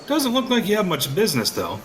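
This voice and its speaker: A man's voice: high-pitched, huge pitch swings, fairly quick, a little hiss or hum. A man with an American accent playing a skeptical, sardonic ordinary husband in an audio drama, hesitant but curious, with wry colloquial delivery. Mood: casual, wry. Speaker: actor in an audio play. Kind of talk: audio drama acting in casual American talk.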